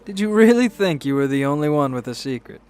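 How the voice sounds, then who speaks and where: A man's voice: a little high, animated, fast talker, darkish tone, faint music behind, close to an everyday voice. An actor playing a suave, mysterious man in a radio drama, polite, wry and teasing as he talks. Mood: teasing, menacing. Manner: polite, wry, smooth-talking.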